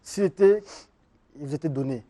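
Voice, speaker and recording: A man's voice: on the high side, normal speed, warm tone. A man hosting a studio interview, reading out viewer questions and directing his guest. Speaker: interviewer host.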